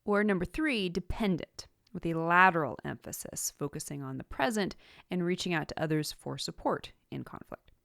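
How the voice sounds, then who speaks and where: Low-voiced woman narrating instructional tips. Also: expressive, a bit bright, dry recording, clean studio sound. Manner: measured, enumerating points clearly. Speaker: podcast host giving writing tips.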